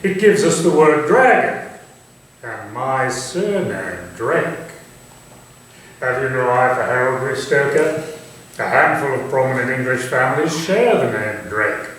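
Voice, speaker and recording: A man's voice: medium pitch, big pitch swings, a little slow, fairly bright tone. A man playing an urbane, teasing, proud Victorian English nobleman in a staged reading, articulate and dryly probing in a theatrical way. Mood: proud, eager. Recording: very echoey room, distant mic, hissy noise.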